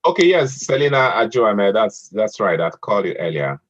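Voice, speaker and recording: A man moderating an online poetry event, reading a poem aloud in a measured way and hesitating with fillers between speakers. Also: on the low side, very animated. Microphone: online video call audio. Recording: muffled lo-fi call audio.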